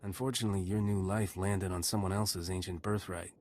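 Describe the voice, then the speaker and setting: An actor playing a calm, fair-minded male adventurer in a video game, speaking in a terse, measured way. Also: low voice, natural voice. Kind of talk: scripted video game dialogue. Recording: noisy game capture.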